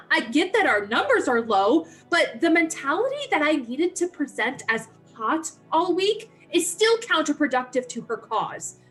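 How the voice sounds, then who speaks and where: Woman acting as anxious college-age woman, high-pitched, very expressive, unhurried, exasperated, defensive, dramatic reading. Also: soft background music, full character voice. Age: young adult. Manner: agitated, halting, exasperated. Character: anxious, defensive young sorority woman hiding a romance.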